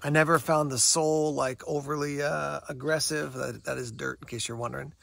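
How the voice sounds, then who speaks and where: A man's voice: a little high, fairly even intonation, brisk, a bit bright, no room sound. An American man in his forties giving a casual, unscripted product review to camera outdoors, conversational and a little hesitant. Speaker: gear review vlogger. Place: outdoors, woods, yard and parking lot. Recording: outdoor recording with clear background noise.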